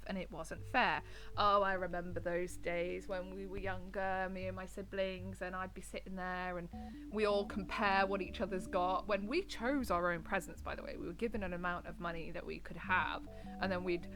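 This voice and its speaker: A woman's voice: on the low side, fairly bright tone, low-quality recording. British woman host with a head cold, nasal, chatty commentary over a music bed. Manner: chatty, rambling asides. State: has a bad cold, a bit nasal. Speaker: host reading and commenting on online stories. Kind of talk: casual reading and commentary. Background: background music.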